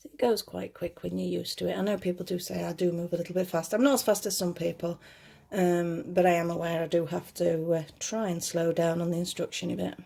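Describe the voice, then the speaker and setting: A woman giving casual step-by-step craft instruction in a slightly gruff voice, chatty and reassuring, often correcting herself as she goes. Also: little pitch movement, brisk, warm tone. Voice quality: slightly gruff, lower than her usual voice. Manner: chatty, self-correcting, reassuring toward beginners. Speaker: crochet tutorial host.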